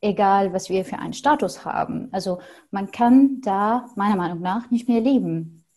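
A young woman from Syria, Arabic native speaker, answering interview questions thoughtfully with many pauses and fillers, over a video call from a small home room. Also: mid-range voice, mellow. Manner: thoughtful, hesitant with frequent fillers. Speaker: podcast guest.

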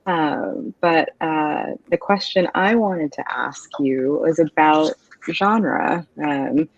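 A woman's voice: low-pitched, slow, creaky. A woman in her thirties hosting an author interview, speaking thoughtfully and measuredly with many "um"s over a video call from a home office. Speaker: interviewer, event host. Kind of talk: interview conversation. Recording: dull, dry video-call audio.

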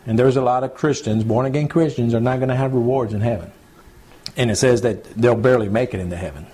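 A man, a pastor teaching scripture to a small home church group in a relaxed, repetitive, conversational way. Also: medium pitch, quick pace, breathy edge. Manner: conversational, repeats points, addresses group as y'all. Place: small home gathering, recorded to CD.

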